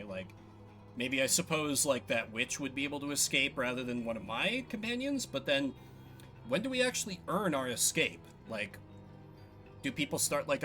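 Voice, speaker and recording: A man's voice: mid-range voice, sharp tone. A man giving casual livestream commentary as he plays a game, musing and thinking aloud. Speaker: game streamer.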